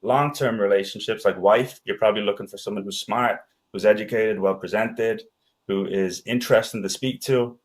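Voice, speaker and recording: A man's voice: lowish voice, fairly even intonation, moderate pace. A man whose first language is Danish, a guest talking casually and rambling with fillers like "right?". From Ireland and Denmark. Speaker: podcast guest, sales expert. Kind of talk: casual conversation.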